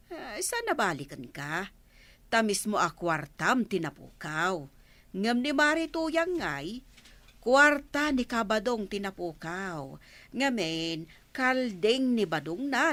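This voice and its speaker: Actress as blunt scolding village friend, Ilocano Filipino accent, animated pitch, slow delivery, radio comedy drama. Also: female voice, dry recording, full character voice. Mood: blunt reproach.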